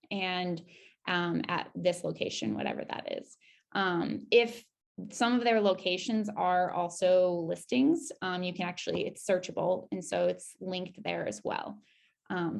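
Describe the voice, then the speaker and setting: A woman with a slightly low, noticeably creaky voice walks listeners through a website demo. Her delivery is casual and conversational, with informal, upbeat asides as she explains each step. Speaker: presenter giving a website demo. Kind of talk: casual screen-share walkthrough.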